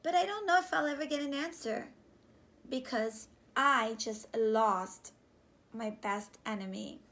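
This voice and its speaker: A woman in her thirties reads a children's story aloud like a teacher, animated and deliberate, pausing to question her young listeners. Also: on the high side. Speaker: teacher reading a picture book aloud. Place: small room indoors, on a couch. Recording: clear background room noise.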